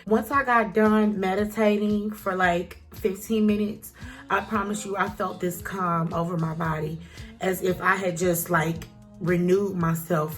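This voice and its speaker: A woman chats to camera in an easy, encouraging, slightly preachy way. Her nose is a little stuffy, and her voice sits at a middle pitch. Soft music plays faintly underneath. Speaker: vlogger. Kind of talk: casual talk to camera.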